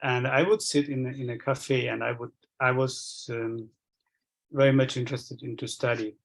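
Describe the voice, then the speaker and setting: A man from Sri Lanka whose first language is Tamil, sharing his experience of energy work in a halting, reflective way with many restarts. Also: mid-range voice, steady tone.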